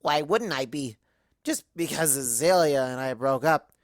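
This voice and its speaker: A woman voicing a tipsy, bitter young female cartoon unicorn with a sarcastic, halting drawl. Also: a bass voice, dry recording, clean studio sound, pitched below the performer's own voice.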